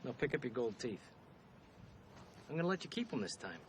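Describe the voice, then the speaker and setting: An actor playing a tough, cocky prison inmate in a cartoon film, blunt and sardonic. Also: male voice, mid-range voice, big pitch swings, fairly quick, slight background noise, close to an everyday voice. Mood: taunting. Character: tough, cocky, self-serving male prison inmate, wisecracking brawler. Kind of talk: animated film dialogue.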